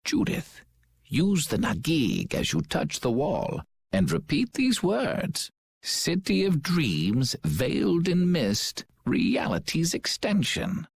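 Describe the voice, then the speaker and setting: A man playing a mild, wise old village elder in a video game, speaking formally and courteously with a gravelly, husky voice over music. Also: fairly high pitch, wildly swooping pitch, slow pace, no echo, full character voice. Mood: calm, courteous. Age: older.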